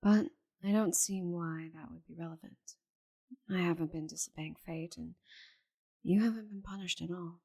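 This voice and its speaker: A proud, stubborn she-dragon, played by a woman in an intimate ASMR roleplay. Her voice is low and gravelly with a husky grain. She speaks dryly, with grudging affection. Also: fairly even intonation, mellow. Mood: dry, resigned. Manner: proud, dry, halting when flustered. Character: giant stubborn female dragon, cursed to shrink, grudgingly affectionate.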